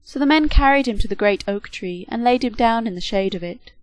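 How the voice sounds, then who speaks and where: A woman reads a storybook tale aloud, steady and clear. Her voice sits fairly high for a woman. The recording is dry and close, with no room sound. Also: brisk, no echo, low-quality recording. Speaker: reader. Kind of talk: storybook narration.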